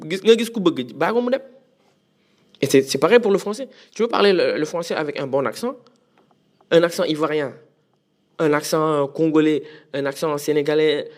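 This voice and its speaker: Male podcast host, loose solo talk, switches languages mid-sentence, stumbles and repeats words. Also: a little high. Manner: switches between French and English mid-sentence; frequent restarts and repeated words. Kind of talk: casual solo monologue.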